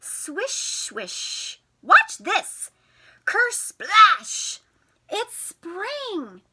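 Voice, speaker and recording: A woman teacher reading a picture book to young children in an expressive, sing-song way, putting questions to them. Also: very high-pitched, drawn-out words. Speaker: teacher and storytime host.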